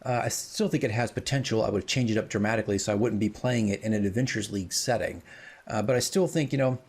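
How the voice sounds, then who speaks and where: A man hosting casual, rambling commentary as a dungeon master, informal and self-deprecating, with a husky voice. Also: on the low side, a little mellow. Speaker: host, dungeon master, radio drama creator.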